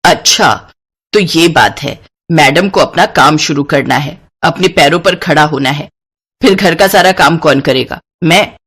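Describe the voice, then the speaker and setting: A woman's voice: sits low, measured pace, fairly bright tone, breathy edge, exaggerated voice. A woman voicing a stern older mother-in-law in a cartoon moral story, curt and scolding in manner. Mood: sarcastic, dismissive. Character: stern, sharp-tongued older mother-in-law, gruffly caring.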